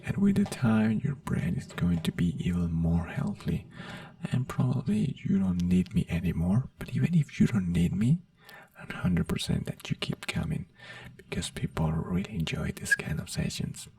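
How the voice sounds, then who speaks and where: A non-native English speaker in a soft-spoken ASMR doctor roleplay, whispering breathily in a gentle, reassuring manner. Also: medium pitch, big pitch swings, moderate pace, vocal fry, recorded from a distance. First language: not English. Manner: gentle, reassuring personal attention. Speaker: ASMR performer playing a brain doctor.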